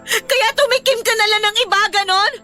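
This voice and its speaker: A betrayed young bride-to-be with a Filipino accent. Her voice is extremely high and sharp, full of melodramatic hurt and accusation, as heard in a radio love drama with faint music underneath. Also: brisk. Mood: hurt, bitter. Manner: melodramatic, emotional, pleading and accusing. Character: betrayed, tearful young fiancée, hot-tempered and wounded.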